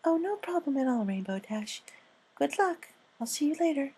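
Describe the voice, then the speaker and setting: A man voicing a gentle, caring female pegasus pony, speaking in a quiet, tentative and kindly way for a fan story. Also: extremely high pitch, big pitch swings, moderate pace, pitched above the performer's own voice, full character voice. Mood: encouraging. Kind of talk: character voice in fan fiction reading.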